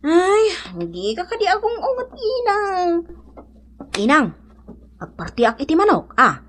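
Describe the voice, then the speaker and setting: A woman's voice: extremely high pitch, huge pitch swings, a little slow, a bit bright, full character voice. A woman playing a brash, cheeky grown daughter in an Ilocano-accented comedy radio drama.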